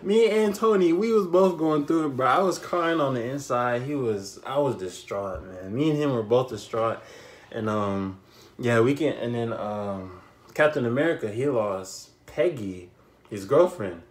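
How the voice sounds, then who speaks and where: A young man giving a casual, unscripted movie recap, telling it in an animated way with repeated phrases and slangy asides. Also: medium pitch, unhurried. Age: young adult. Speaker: YouTube film reviewer. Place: small bedroom, indoors.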